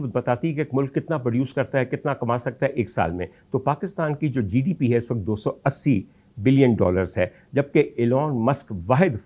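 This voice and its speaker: A male talk show host with a Pakistani accent speaks in a medium-pitched voice. He delivers commentary as a monologue to his audience. His manner is rhetorical and theatrical, leaning deliberately on key phrases. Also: a little mellow. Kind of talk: monologue commentary addressed to audience, mixing Urdu and English.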